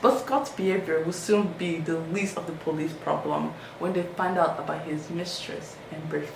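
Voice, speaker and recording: A woman's voice: deep voice, moderate pace, gravelly, recorded from a distance. A woman talks through a true-crime case in loose, chatty storytelling, her voice lifting when she quotes people. She sits well back from the mic in a very echoey room, with a faint hiss behind her. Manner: chatty, animated, quoting people in raised voice. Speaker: podcast host.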